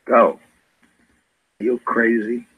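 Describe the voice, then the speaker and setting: An actor playing a stern, weary Old West marshal in a radio western, plainspoken, commanding and dryly wry. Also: male voice, medium pitch, level tone, drawn-out words, slightly rough, phone-quality sound. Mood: stern, exasperated. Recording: vintage radio broadcast recording, dry studio.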